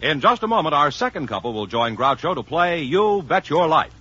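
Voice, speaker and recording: Animated male announcer on old broadcast. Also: fairly high pitch, brisk, music in the background. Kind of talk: scripted promotional announcing. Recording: muffled, noisy old broadcast.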